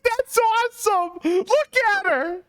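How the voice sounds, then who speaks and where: A woman game streamer reacting casually out loud in an exclamatory, expressive way. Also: extremely high pitch, slow, dry recording.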